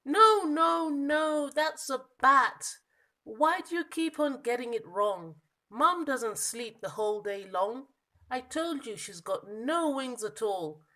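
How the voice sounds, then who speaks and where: Woman as a small young monkey, storybook character, plaintive, childlike, emphatic protests, bright, slow drawn-out words. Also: high voice, lively intonation, heavily acted. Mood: exasperated protest.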